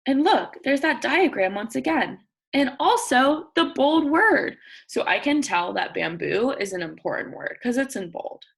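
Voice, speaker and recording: A woman with a fairly high, lively voice leads a read-aloud for little kids at an unhurried pace. Her tone has a husky, creaky edge as she reads deliberately and poses questions to her listeners like a teacher. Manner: expressive, prompting listeners with questions and think-alouds; slow, deliberate sentence reading with simple explanations for children. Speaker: teacher reading aloud to young children. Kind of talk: interactive nonfiction read-aloud lesson for young children.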